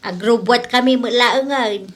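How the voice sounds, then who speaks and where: Woman doing an older ilocano village mom, gossipy, slow talking, highish voice, comedy drama. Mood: matter-of-fact. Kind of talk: Ilocano comedy radio-style drama acting.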